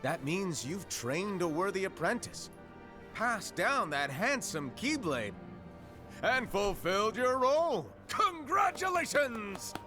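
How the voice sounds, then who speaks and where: An actor playing a mysterious, playful hooded keyblade master in a game cutscene, breezy and secretive. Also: male voice, sits high, big pitch swings, slow pace, pushed and bright, full character voice. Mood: teasing. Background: game soundtrack music.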